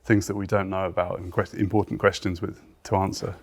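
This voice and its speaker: British man, biologist in relaxed conversation, lowish voice, very quick pace, hesitant restarts. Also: creaky, breathy edge. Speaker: research biologist. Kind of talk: casual conversation.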